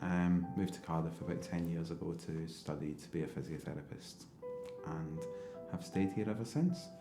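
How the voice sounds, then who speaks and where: A Scottish man in his thirties with a very low voice talks in a level, measured way. His voice carries some room echo, and music plays clearly underneath. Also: fairly even intonation. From Scotland. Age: 30s. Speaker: football coach. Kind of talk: documentary interview. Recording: roomy interview with music bed.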